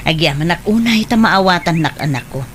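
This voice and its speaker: A woman's voice: fairly low pitch, animated, a little slow, a little hiss or hum. Kindly Filipino mother. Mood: caring, motherly. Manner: gentle, solicitous. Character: kindly, caring mother and aunt. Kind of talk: audio drama acting.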